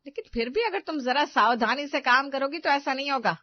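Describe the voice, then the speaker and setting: High-voiced bossy mother-in-law played by a woman. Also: brisk, dry recording, lo-fi sound, lightly acted. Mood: scolding. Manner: chiding, emphatic. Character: bossy, scolding, thrifty middle-aged mother-in-law. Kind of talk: dramatised family story.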